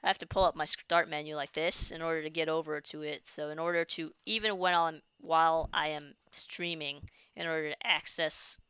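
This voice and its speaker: A woman's voice: low voice, fairly even intonation, moderate pace, hardly any pauses, a bit bright, smooth. A woman chatting casually during a live game stream, rambling and restarting sentences, her voice starting to tire. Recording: dull lo-fi stream audio.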